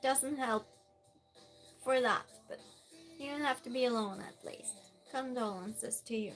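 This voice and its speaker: A woman's voice: on the high side, measured pace, faint music behind, poor audio. A woman with a Norwegian accent, a native Norwegian speaker, chatting casually on a live stream, reading viewer messages aloud with plenty of fillers and restarts.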